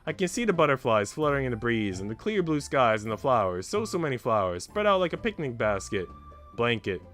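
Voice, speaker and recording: A man reads the part of a young maid in a visual novel, sweet and dreamy. The delivery is earnest and wistful, with lively pitch and music playing underneath. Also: a little fast.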